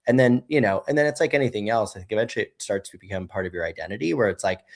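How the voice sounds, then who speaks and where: A man in his thirties speaks with a fairly low voice in an easygoing, meandering way. He keeps leaning on "you know" and "right?" as he tells his story to a podcast host. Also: lively intonation, racing. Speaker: guest, brewery co-founder. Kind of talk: casual conversational storytelling.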